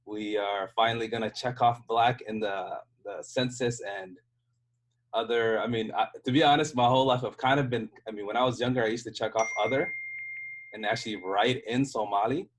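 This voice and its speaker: A man's voice: mid-range voice, steady tone, fairly quick, poor audio. A man with an American accent speaking casually on an online panel, explaining conversationally, restarting sentences and checking in with "right?". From United States. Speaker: panel speaker, software engineer. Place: hotel room, online call.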